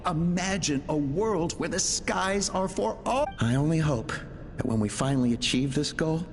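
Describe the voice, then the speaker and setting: A man's voice: on the high side, expressive, normal speed, slight background noise. An actor playing an earnest, idealistic male inventor dictating research logs in a video game. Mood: idealistic, hopeful. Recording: reverberant hall, music underneath.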